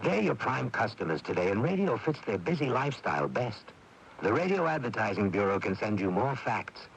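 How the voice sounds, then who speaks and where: A man's voice: on the low side, very animated, brisk, creaky, slight background noise, low-quality recording. A man reading a radio advertisement as a commercial announcer.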